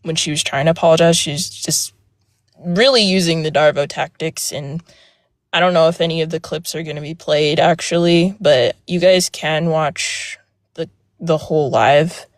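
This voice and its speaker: A young woman with a soft voice telling a personal story on a live panel, hesitant with many fillers and restarts, speaking from outdoors. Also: sits low, normal speed, no room sound, studio quality. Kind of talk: casual personal account. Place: outdoors near a road.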